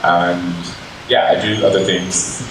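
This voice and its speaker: A man's voice: low-pitched, little pitch movement, slow pace. A man speaking informally as a panelist, introducing the work he does. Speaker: panelist, arts collective member. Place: indoor public panel event. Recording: echoey room, distant mic, noisy.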